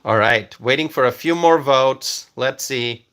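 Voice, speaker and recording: Bright-voiced male live stream host. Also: mid-range voice. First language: Bengali. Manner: friendly, thanks viewers by name, halting with fillers. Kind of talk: casual live stream chat reading comments.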